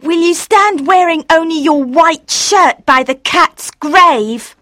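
A woman voicing vengeful, unforgiving children in a storybook, with a cold, taunting, deliberate manner. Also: very high-pitched, sharp tone, dry recording, studio quality, heavily acted. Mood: stern demanding.

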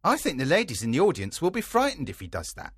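A man voicing a self-important, opinionated amateur actor in a storybook, with emphatic delivery and a raspy voice. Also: a little high, huge pitch swings, fast talker, no echo, clean studio sound, voice pushed up, heavily acted. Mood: fussy, insistent. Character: loud, self-important amateur actor fussing over his play. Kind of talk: storybook character voice.

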